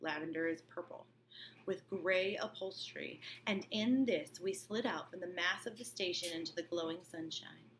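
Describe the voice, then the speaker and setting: A woman's voice: lowish voice, normal speed, fairly bright tone, low-quality recording. A woman reading a novel aloud as a teacher, expressively, breaking off for informal explanatory asides. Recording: clear background room noise.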